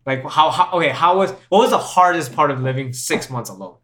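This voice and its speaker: A man's voice: fairly high pitch, expressive, a little fast, a bit bright. A young man hosting a casual podcast chat, laughing often and repeating himself as he talks. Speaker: podcast host.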